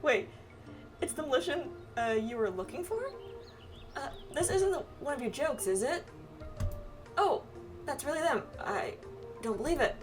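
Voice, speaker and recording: A woman's voice: high-pitched, very animated, normal speed, pauses often. A woman voicing a nervous, flustered young male astrologer in a game, hesitant and stammering. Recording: distant mic, game music behind.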